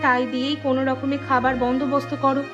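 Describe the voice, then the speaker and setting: Woman playing a high-voiced, devoted village wife. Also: completely flat delivery, fast talker, highs cut off, lightly acted. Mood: caring, reassuring. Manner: gentle, reassuring. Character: devoted, selfless, reassuring village wife and mother. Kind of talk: animated story dialogue. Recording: clear music behind the voice.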